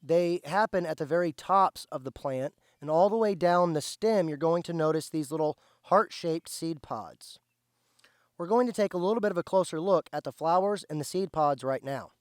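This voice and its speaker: A slightly hoarse man, patiently explaining step by step as he teaches plant identification outdoors. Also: on the high side, moderate pace, no echo, studio quality. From central Indiana, USA. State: slightly hoarse, recovering from lost voice. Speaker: host, foraging teacher. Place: outdoors by a driveway.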